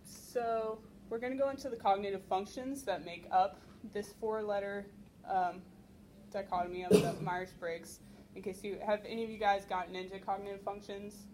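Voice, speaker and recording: A woman's voice: mid-range voice, fairly even intonation, moderate pace. A young woman with an ordinary mid-range voice explains a topic to a convention crowd, often filling gaps with "um". There is no microphone, and faint noise hangs in the room. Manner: explanatory, filler-heavy with frequent ums. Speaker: convention panelist. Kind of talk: convention panel presentation. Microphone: no microphone, recorded from the room.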